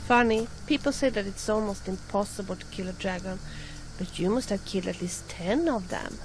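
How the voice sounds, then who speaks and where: A woman's voice: medium pitch, moderate pace, background noise, natural voice. A woman voicing a friendly, bookish young adventuring companion in a video game.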